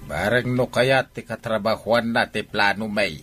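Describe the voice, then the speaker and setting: A man's voice: lowish voice, unhurried, fairly bright tone, soft background music. A man with an Ilocano accent playing a conniving, hesitant older father in a radio comedy-drama. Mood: explaining.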